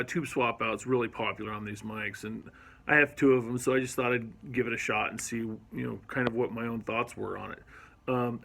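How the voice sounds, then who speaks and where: A man's voice: mid-range voice, vocal fry. A man casually reviewing studio gear in his recording studio, rambling and hesitant with lots of ums, recorded on a phone. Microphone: iPhone camera mic.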